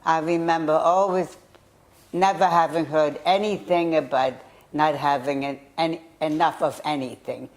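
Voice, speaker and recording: A woman's voice: low-pitched, steady tone, measured pace. An older woman whose first language was German, recalling her childhood in an oral history interview, hesitant and searching for words. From Poland. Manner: hesitant, searching memory with restarts.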